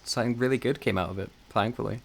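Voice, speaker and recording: A man's voice: medium pitch, very fast, creaky, slight background noise. Rambling, sweary man chatting casually. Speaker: podcast co-host. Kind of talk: casual podcast chat.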